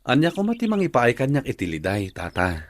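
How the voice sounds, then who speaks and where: A man's voice: medium pitch, wildly swooping pitch, a little fast, dry recording. A man playing an earnest, caring young office worker and suitor in an Ilocano radio drama, speaking expressively. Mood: respectful, relaxed.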